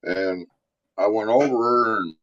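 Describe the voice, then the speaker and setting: A middle-aged man with a deep voice, earnestly telling his story in casual chat on a live stream over a video call, with halting restarts. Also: a bit bright, no echo. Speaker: guest giving testimony. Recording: dry, dull lo-fi stream audio.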